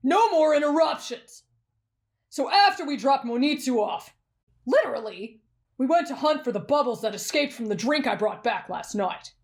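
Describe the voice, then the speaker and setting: A woman voicing a brash, bossy young male warrior in an anime fan roleplay, blunt and gruff in manner. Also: high-pitched, lively intonation, moderate pace. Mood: brash, stubborn.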